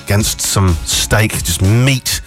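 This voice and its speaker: A man's voice: on the low side, slow. A man hosting a breakfast radio show, joking and rambling in casual chat. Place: radio studio. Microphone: studio mic with headphones. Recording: studio with clear background music.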